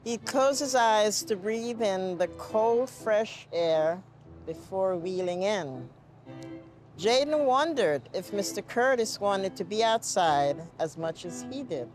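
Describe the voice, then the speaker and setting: A woman's voice: mid-range voice, lively intonation, takes it slowly, darkish tone. A woman with a mid-range voice speaks to young children as a gardener and storybook reader. She reads aloud in picture-book style, with light music underneath. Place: community garden, outdoors.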